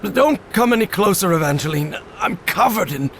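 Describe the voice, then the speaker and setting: A man's voice: high-pitched, animated, normal speed, creaky, fairly bright tone, slight background noise, exaggerated voice. An actor playing an anxious, earnest male professor in a story game, hesitant and full of feeling as he speaks. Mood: alarmed. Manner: hesitant, emotional, questioning. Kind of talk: cinematic game voice acting.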